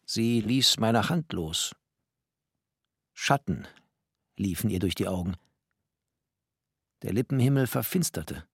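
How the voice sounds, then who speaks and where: An actor playing a bookish, tender and wry first-person narrator with poetic, deliberate phrasing in a literary radio drama. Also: male voice, on the low side, lively intonation, husky, no room sound, studio quality, heavily acted.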